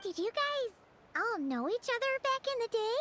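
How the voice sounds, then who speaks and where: A tiny fairy girl sidekick from a fantasy game. The voice is extremely high and squeaky, bouncing around with childlike curiosity. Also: big pitch swings, moderate pace, noisy background. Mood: curious. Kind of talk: cartoonish video game voice acting.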